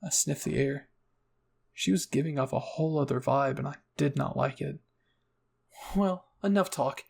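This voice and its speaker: A man's voice: mid-range voice, little pitch movement, normal speed, creaky, darkish tone, husky. A man narrating a scripted first-person fan fiction story as an ASMR roleplay reading.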